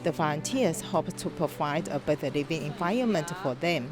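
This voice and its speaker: A woman narrating a news feature in a measured, formal read. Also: deep voice, expressive, over music. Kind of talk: scripted documentary narration.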